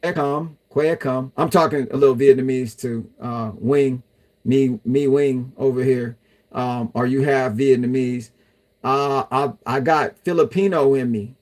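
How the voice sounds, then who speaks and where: A man's voice: medium pitch, a little slow, darkish tone. Casual male streamer, answering chat in a rambling way. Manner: chatty, loose, talks to viewers as y'all. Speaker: livestream host reading chat.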